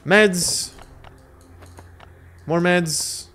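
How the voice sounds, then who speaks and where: A man's voice: sits high, very slow, pushed and bright, clear, resonant tone. Young man streaming games, rambling slangy chat. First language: Lithuanian. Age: young adult. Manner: rambling, slangy, answers chat between game moves. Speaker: livestreamer. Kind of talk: casual livestream chat while gaming. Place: home streaming room, indoors. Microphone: headset.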